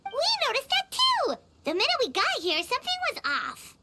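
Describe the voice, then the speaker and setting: A woman voicing a tiny childlike fairy companion in a video game, squeaky, blunt and cheeky. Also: huge pitch swings, normal speed, very noisy, full character voice. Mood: surprised, curious. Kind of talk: video game character acting. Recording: game dialogue over background music. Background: clear background music.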